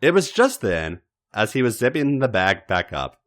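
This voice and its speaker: A man reading a story aloud in a dramatic, expressive narrating style, with theatrical emphasis and deliberate pauses. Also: mid-range voice, a little hiss or hum. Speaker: podcast host reading fan fiction.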